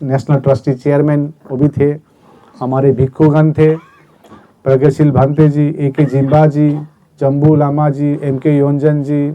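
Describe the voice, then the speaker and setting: A man's voice: a little high, mellow, rough recording. A man speaking as a movement spokesman at a press conference, earnestly setting out decisions one by one. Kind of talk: press statement.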